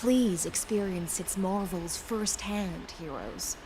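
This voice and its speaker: An actress playing a composed, enigmatic imperial envoy in a video game, with measured, deferential speech. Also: female voice, lowish voice, background noise. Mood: courteous, inviting. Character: courtly, enigmatic female envoy of an emperor, composed.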